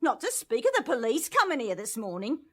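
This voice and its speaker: Shrill, scolding English landlady. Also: very animated, fairly quick, a bit bright. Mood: indignant, scolding. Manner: scolding, garrulous, self-righteous. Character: shrill indignant nosy working-class landlady, bossy wife. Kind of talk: radio drama acting.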